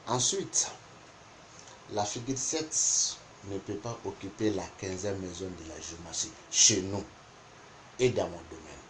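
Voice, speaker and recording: Low-voiced man teaching in careful French. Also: fairly bright tone, slight background noise. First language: not French. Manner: deliberate, repeats points for emphasis. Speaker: geomancy teacher. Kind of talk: spoken lesson explaining interpretations.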